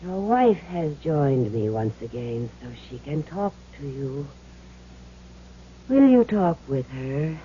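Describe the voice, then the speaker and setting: Swooping, theatrical phony spirit medium. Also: female voice, low voice, very animated, takes it slowly, mellow, exaggerated voice. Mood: solemn, theatrical. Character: fed-up sharp-tongued woman, phony spirit medium. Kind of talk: vintage radio drama acting. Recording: muffled noisy old radio recording.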